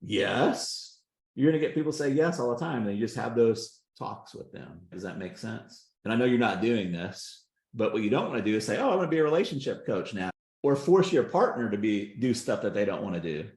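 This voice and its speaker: A man's voice: lowish voice, very animated, a little fast, a little mellow, echoey room. A man coaching on a group video call, folksy and emphatic, often checking that his point lands. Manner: emphatic, folksy, repeats "does that make sense". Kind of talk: casual coaching talk.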